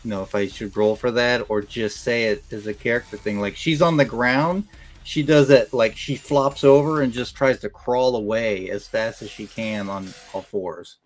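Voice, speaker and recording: A man's voice: mid-range voice, fairly even intonation, moderate pace. A man chatting casually as a tabletop game player, describing what his character does.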